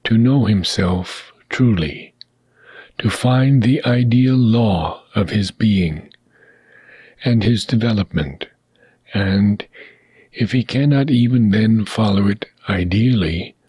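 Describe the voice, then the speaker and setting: A man reading a philosophical text aloud in a deliberate, measured way, pausing between short phrases and letting phrase ends fade into a breathy whisper. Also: lowish voice, warm tone, no echo. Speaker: audiobook reader.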